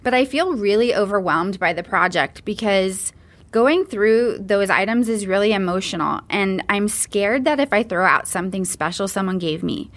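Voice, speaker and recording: A woman's voice: mid-range voice, a bit bright. A woman hosting a podcast, opening with a scripted, open and personal introduction. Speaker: podcast host.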